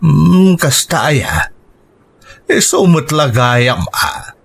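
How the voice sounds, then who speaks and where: A man's voice: a little high, sing-song, slow, vocal fry, slightly rough, heavily acted. An actor playing a worried, doting middle-aged father in a radio drama, with a Filipino accent. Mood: concerned. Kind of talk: Ilocano radio drama acting.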